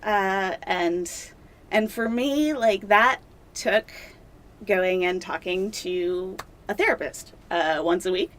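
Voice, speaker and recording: A young woman, a doctoral candidate, talking casually in an interview, chatty and self-deprecating with many fillers, seated in a dark studio. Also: medium pitch, slow, slight background noise. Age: young adult.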